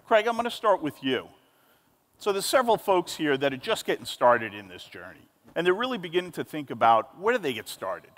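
A man's voice: fairly high pitch, very animated, brisk, fairly bright tone. Upbeat man moderating a panel. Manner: upbeat, emphatic, rhetorical. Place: large conference hall stage. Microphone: handheld mic. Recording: long hall reverb.

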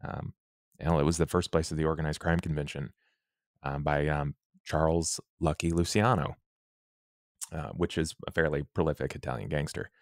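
Tabletop player: male, extremely low voice, dry sound, halting explainer. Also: fairly even intonation, no room sound. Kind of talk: improvised in-game description.

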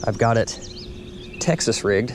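A tired young man from Indiana, hosting a fishing vlog from a kayak on a river, talking excitedly to a body camera as he fishes and losing his voice a little. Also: medium pitch, unhurried. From Indiana, USA. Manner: excited, rambling, talks to camera while fishing. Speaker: fishing vlogger. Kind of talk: casual on-the-water vlog. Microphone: action camera on head or chest. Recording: outdoor action-cam audio with noise.

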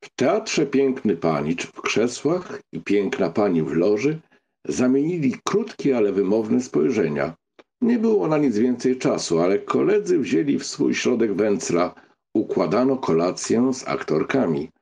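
A man reading literary fiction as an audiobook narrator, in an expressive storytelling style. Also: medium pitch, creaky, breathy edge.

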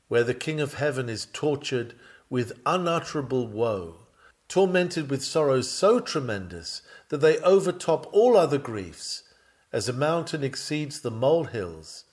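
A man reading an old-fashioned sermon dramatically, with solemn, fervent and measured oratory. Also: mid-range voice. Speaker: sermon reader.

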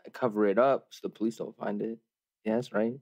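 A man's voice: on the low side, steady tone, a little fast, a little mellow. A man bantering casually as a player on a tabletop game podcast, hesitant and joking, through a slightly dull microphone.

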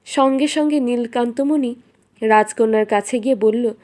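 A woman's voice: a little high, normal speed, darkish tone. A woman reading a scripted fairy tale as the storyteller of a cartoon.

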